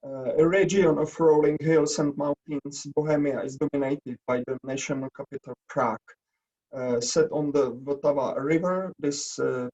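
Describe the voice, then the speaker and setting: Czech guy presenting on a video call, gravelly, lots of uh and restarts. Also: on the high side, darkish tone, low-quality recording. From Czech Republic. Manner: halting, many "uh" pauses and restarts. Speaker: webinar presenter, nursing educator. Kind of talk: presenting slides in a webinar.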